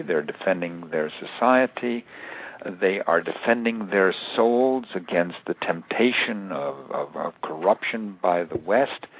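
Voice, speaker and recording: An older American man giving considered, analytical interview answers in a halting, deliberate way, repeating words for emphasis. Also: on the low side. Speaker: interview guest, author and security analyst. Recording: narrow tinny phone line.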